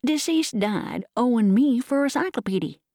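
A woman's voice: on the high side, expressive, normal speed, warm tone, no echo, studio quality, pitched above the performer's own voice, natural voice. A woman voicing a mean, grasping old book salesman, insistent and legalistic, in a storybook reading.

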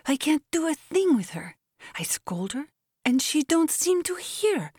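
A woman narrator plays a long-suffering household servant from a storybook. The voice rises high and stretches out into insistent pleading, with a soft, mellow tone in a dry recording. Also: sing-song, a little slow, no room sound. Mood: pleading, exasperated.

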